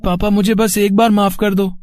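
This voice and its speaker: A man's voice: high voice, little pitch movement, moderate pace, darkish tone, no room sound, studio quality, natural voice. A man playing an earnest, emotional schoolboy in a cartoon moral story, speaking in a pleading manner. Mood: remorseful, pleading. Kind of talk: animated moral story dialogue.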